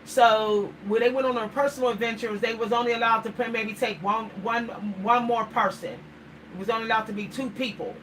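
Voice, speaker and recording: A woman's voice: fairly high pitch, steady tone, brisk, fairly bright tone. A middle-aged woman with a Pittsburgh accent, talking casually to her livestream viewers in a rambling way and often repeating words. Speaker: vlogger. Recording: distant mic, faint background noise.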